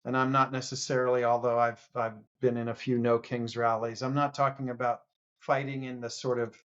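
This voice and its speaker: A man appearing as a podcast guest, speaking earnestly and haltingly, often restarting in a casual political debate. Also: mid-range voice, little pitch movement, a bit bright, highs cut off.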